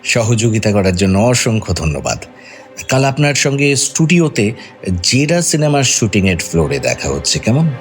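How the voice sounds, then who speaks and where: An actor playing a sly detective in an audio drama, questioning suspects in a pointed, theatrically insinuating way. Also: male voice, medium pitch, very animated, moderate pace, soft background music, natural voice. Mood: smugly polite. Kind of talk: Bengali audio drama acting.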